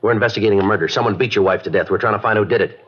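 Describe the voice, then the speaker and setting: An actor playing a terse, businesslike police detective in a 1950s radio drama, speaking in a deadpan, clipped, matter-of-fact way. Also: male voice, lowish voice, very fast, background noise, lightly acted. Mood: stern. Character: terse, businesslike male police detective, narrating his cases. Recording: dull old radio recording.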